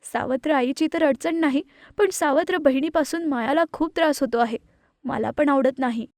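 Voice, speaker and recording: A worried mother voiced by a woman in a cartoon moral tale. Her voice is high and coaxing, full of concern, with a dry, close sound. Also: normal speed, darkish tone, no room sound. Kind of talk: animated moral story acting.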